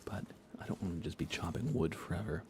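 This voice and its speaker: A man speaking softly as an ASMR game streamer, hushed and often near a whisper, rambling casually as he chats with viewers. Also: low voice, fast, background noise. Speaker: live streamer. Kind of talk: soft-spoken ASMR gaming livestream chat.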